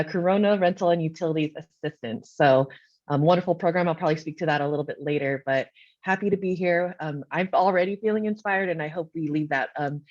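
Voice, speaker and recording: A woman's voice: deep voice, a little mellow, no echo. A woman speaking on an online panel, upbeat and friendly with many fillers. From Pacific Northwest of the US. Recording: muffled dry sound.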